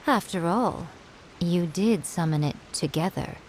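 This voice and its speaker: A woman voicing a sly fox-spirit shrine priestess in a video game, with a silky, mocking, unhurried delivery. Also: fairly low pitch, a little mellow, a little hiss or hum, close to an everyday voice, low-quality recording. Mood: cold.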